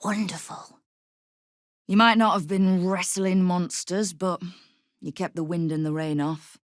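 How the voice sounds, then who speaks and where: Low-voiced, sardonic female crime boss acted by a woman. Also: fairly even intonation, measured pace, dry recording, clean studio sound, natural voice. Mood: wry, businesslike. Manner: wry, commanding, sardonic. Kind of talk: video game character dialogue.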